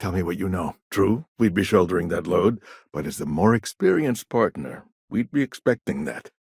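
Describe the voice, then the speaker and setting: An actor playing a shrewd, guarded businessman in a video game, with a measured, wry, businesslike way of talking. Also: low-pitched, lively intonation, gravelly, a little mellow, husky, no room sound, studio quality, heavily acted. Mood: cautious, confiding. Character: shrewd, guarded corporate businessman, smooth-talking and self-assured. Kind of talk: scripted game dialogue.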